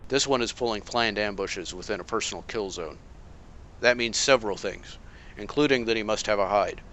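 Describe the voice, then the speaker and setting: An actor playing a calm, analytical masked vigilante detective with a dry, measured delivery. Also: male voice, on the low side, a little hiss or hum, natural voice. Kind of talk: amateur motion comic voice acting.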